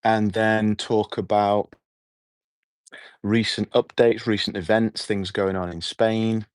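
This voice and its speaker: A middle-aged man with a hoarse voice, hosting a relaxed, rambling evening livestream outdoors and chatting with his viewers. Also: lowish voice, slow pace, no echo. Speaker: livestream host. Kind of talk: casual evening livestream chat. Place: outdoors at night.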